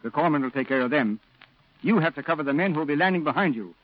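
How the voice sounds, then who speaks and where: A male actor's authoritative Marine colonel, formal and sober. He speaks rapidly and decisively, somewhat high in pitch. It sounds like a muffled wartime radio play. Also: lively intonation, dry recording, natural voice. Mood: grave, firm. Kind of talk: 1940s radio acting. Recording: muffled old radio broadcast recording.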